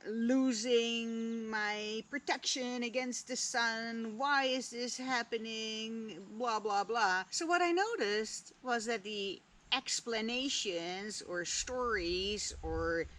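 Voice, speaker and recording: A woman whose native language is Dutch, reflecting slowly and candidly on a spiritual lesson, with long pauses and the odd casual swear word. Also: a little high, fairly bright tone. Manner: slow, deliberate, musing with long pauses. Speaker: spiritual vlogger. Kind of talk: reflective personal talk.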